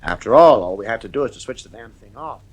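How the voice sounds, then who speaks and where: An actor playing an irritable, stubborn father and husband in a radio drama, with a gruff, commanding manner. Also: medium pitch, lively intonation, fast talker, close to an everyday voice. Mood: brisk, reassuring.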